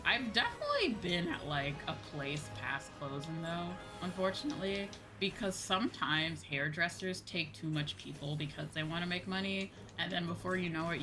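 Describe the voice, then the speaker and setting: A young American woman chats casually on a livestream in a fairly low-pitched voice. She is animated and sweary, slipping into exasperated quoted exchanges as she talks. Music plays clearly behind her. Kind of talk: casual chat while gaming on stream. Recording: background music under the voice.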